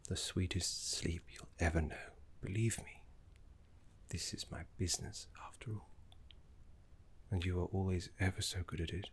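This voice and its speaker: A man playing a gentle, wistful elderly necromancy professor in a breathy, husky half-whisper, halting and genteel, as an ASMR roleplay. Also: sits low, steady tone. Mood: gentle, soothing. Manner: halting, genteel, formal wording.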